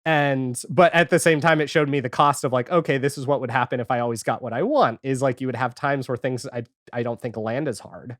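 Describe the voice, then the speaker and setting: A man in a casual, animated pop-culture chat as a podcast guest, talking informally and acting out imagined remarks. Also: mid-range voice, a little fast, hardly any pauses, no room sound, clean studio sound.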